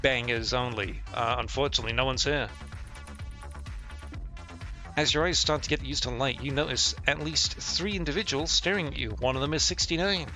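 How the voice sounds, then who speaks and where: A man's voice: mid-range voice, normal speed, sharp tone, soft background music. A man giving casual, sweary and sardonic commentary as he plays a game and reads its text aloud. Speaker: game streamer.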